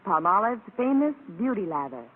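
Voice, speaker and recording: Animated woman announcer, tinny old radio ad. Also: medium pitch, moderate pace. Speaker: commercial announcer. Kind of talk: 1940s-50s radio commercial copy reading. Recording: tinny old radio broadcast, faint noise.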